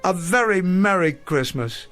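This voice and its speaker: An actor playing a gentle elderly professor in a radio drama, speaking in a formal, reflective, grateful way with deliberate repetition. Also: male voice, high voice, lively intonation, soft background music. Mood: joyous, grateful. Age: older.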